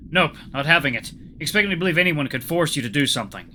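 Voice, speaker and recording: Man playing a stubborn, earnest animal hero, bright voice. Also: fairly high pitch, animated, fast, a little hiss or hum, voice pushed up. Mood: challenging. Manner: earnest, probing, informal. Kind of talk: story character reading.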